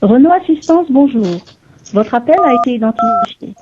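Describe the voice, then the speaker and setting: A woman's voice: on the high side, expressive, moderate pace. A woman reading a scripted automated greeting for a customer assistance phone line. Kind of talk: scripted customer service announcement. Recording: very noisy, tinny phone line.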